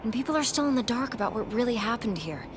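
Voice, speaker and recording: A woman's voice: fairly high pitch, expressive, fast, natural voice. An actress playing a brave, wry and clever young woman in a video game. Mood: insistent, reassuring. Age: young adult. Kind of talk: cinematic video game voice acting. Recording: long reverb, clear background noise.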